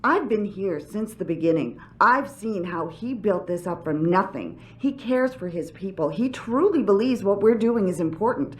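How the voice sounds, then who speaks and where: An actress playing a loyal, prickly middle-aged company employee in a video game, firm and curt. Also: female voice, medium pitch, lively intonation, moderate pace, few pauses, close to an everyday voice. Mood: defensive, stern. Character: loyal, prickly, long-serving company woman. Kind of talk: video game cutscene acting.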